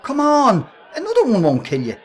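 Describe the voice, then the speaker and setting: A man's voice: very high voice, huge pitch swings, normal speed, exaggerated voice. An actor with a Dublin accent playing a brash, bullying working-class man in a radio play, cocky and needling in his slangy talk. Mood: boisterous banter. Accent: Irish, Dublin. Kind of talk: radio play acting in colloquial dialogue.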